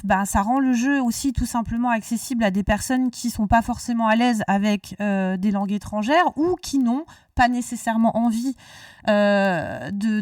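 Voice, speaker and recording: Woman host, talkative, restarts sentences, higher voice, dry sound, a little off-mic. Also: darkish tone, no echo, recorded from a distance. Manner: talkative, rambling with many restarts. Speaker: podcast host. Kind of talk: casual discussion.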